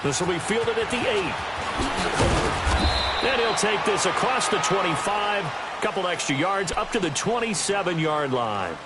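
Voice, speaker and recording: A man's voice: sits high, lively intonation, unhurried, a loud crowd. A man voicing a polished, keen play-by-play announcer in a football video game. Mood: steady, engaged. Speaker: play-by-play sports commentator. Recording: very noisy game stream with stadium ambience. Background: loud football game sounds and ambience.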